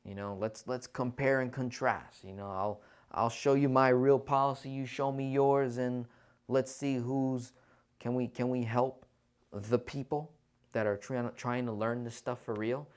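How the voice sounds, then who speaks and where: A man with a medium-pitched voice hosts a casual online Q&A. He talks in a conversational, persuasive way. He often tags his points with short check-in questions to the listener. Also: steady tone, measured pace, clean tone. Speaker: financial Q&A host. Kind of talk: casual livestream Q&A on finance.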